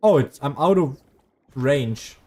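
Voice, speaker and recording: A man's voice: mid-range voice, animated, slow, a little mellow. A man giving casual, rambling video game commentary, often losing his train of thought like a streamer talking as he plays.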